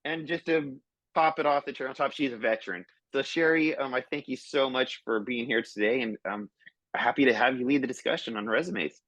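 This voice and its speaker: A man's voice: mid-range voice, fairly quick, a bit bright, no echo, rough recording. Upbeat man hosting a webinar, dry sound. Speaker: webinar host.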